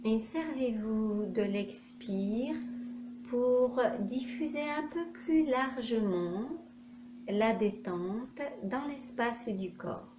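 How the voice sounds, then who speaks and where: A woman with a fairly high voice guides a relaxation meditation at an extremely slow pace. She gives short instructions separated by long pauses. The room is echoey. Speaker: yoga nidra instructor. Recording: echoey room, faint background noise.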